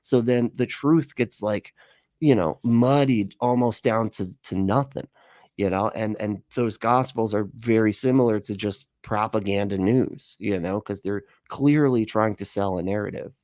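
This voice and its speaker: A man's voice: fairly low pitch, unhurried, a little mellow, no room sound, studio quality. A man giving casual, rambling and argumentative reaction commentary as a livestream host.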